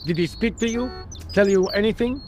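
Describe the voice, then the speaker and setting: An actor playing a weary, condescending French police sergeant in a video game, formal and droll, with a French accent. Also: male voice, high-pitched, a little fast, darkish tone, a little hiss or hum, close to an everyday voice. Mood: dismissive. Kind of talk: video game dialogue acting.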